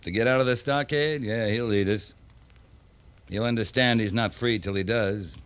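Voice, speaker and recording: An actor playing a tough, steady cavalry captain in a 1950s radio western, terse and matter-of-fact. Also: male voice, lowish voice, moderate pace, smooth, lightly acted. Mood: firm, matter-of-fact.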